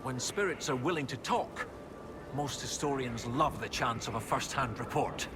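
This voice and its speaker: An actor voicing a bookish, excitable male historian in a video game, speaking in precise, scholarly phrases. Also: mid-range voice, normal speed, background noise, natural voice. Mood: earnest. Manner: scholarly, animated, precise wording. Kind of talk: video game dialogue acting.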